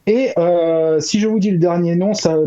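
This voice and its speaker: A man's voice: high-pitched, steady tone, moderate pace, warm tone. A man giving casual, enthusiastic fan commentary on an anime news show, with dull lo-fi audio.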